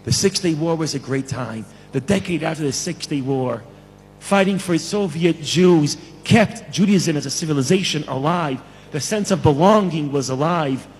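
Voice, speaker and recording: A man from Israel giving an emphatic lecture to a conference plenary, repeating key phrases for effect, with a husky voice. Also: on the high side, moderate pace, in a large hall, faint music behind, poor audio. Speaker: conference speaker. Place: large conference hall.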